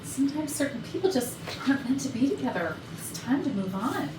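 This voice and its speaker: An actress playing a gentle, consoling female colleague in a comedy play reading. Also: high voice, big pitch swings, fairly quick. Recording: faint, distant, echoey room with noise.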